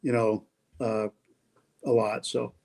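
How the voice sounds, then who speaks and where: A middle-aged man from New Hampshire, a radio baseball announcer, chatting as an interview guest in a relaxed, rambling way with many fillers. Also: medium pitch, slow pace, gravelly. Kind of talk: casual interview conversation.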